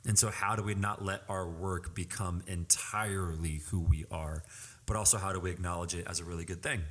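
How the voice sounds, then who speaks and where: 20-something pastor guy, kinda low voice, lots of ums, earnest podcast talk. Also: steady tone, fairly bright tone. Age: 20s. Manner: earnest, halting, many fillers. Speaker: student pastor, podcast co-host. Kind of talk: casual podcast chat.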